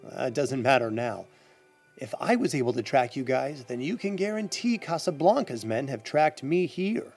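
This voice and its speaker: An actor playing a brisk, guarded, confident adventurer and heir in an audio adventure drama, with theatrical, expository delivery. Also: male voice, mid-range voice, animated, darkish tone, soft background music. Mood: earnest, explanatory.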